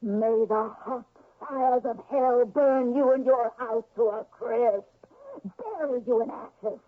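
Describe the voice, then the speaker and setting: A woman playing a defiant, cold witch of a wife in a radio drama, speaking in a deliberate, theatrical way. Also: a little high. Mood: furious, cursing. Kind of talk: 1970s radio drama acting. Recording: noisy, phone-like old radio recording.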